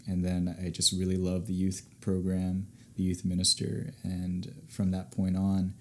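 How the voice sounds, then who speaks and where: Young guy from the states, deep voice, laid back rambly podcast guest. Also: completely flat delivery, unhurried. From United States. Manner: easygoing, rambling storyteller. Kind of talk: casual interview chat.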